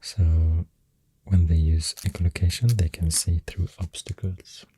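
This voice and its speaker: A man with a Swedish accent speaking softly in a halting, half-whispered ASMR ramble, close to the microphone. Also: low-pitched, level tone, no room sound. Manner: hushed, breathy, half-whispered. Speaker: ASMR host. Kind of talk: soft-spoken ASMR rambling.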